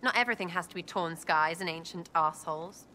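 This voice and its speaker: A cheeky young female elf from a video game with a bright, forceful voice. Her British accent is slangy and irreverent. There is faint ambience behind her. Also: lowish voice, quick pace, a little hiss or hum. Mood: cheerful. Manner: breezy, slangy, impish. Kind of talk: video game dialogue acting.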